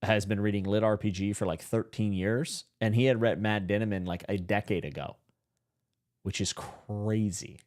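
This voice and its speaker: A man's voice: lowish voice, steady tone, moderate pace, a little mellow. A man hosting a casual livestream podcast, rambling and self-deprecating, laughing often. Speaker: podcast host.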